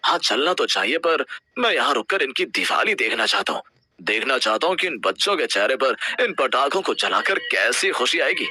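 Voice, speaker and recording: Man playing a very bright, good-natured ghost. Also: medium pitch, moderate pace, husky, heavily acted. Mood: wistful. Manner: animated, exaggerated storybook dialogue. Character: good-natured, slightly forgetful male ghost posing as shopkeeper.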